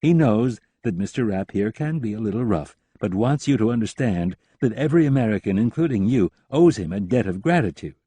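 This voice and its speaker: A man voicing a calm, measured male defense official. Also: on the low side, husky, no room sound.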